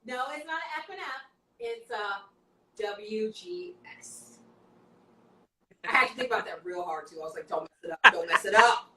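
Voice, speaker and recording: Tipsy podcast host woman, bright voice. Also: mid-range voice, expressive, normal speed, long pauses, echoey room, far from the mic, slight background noise, low-quality recording. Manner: playful, drinking wine, slightly slurring. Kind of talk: casual tipsy chat.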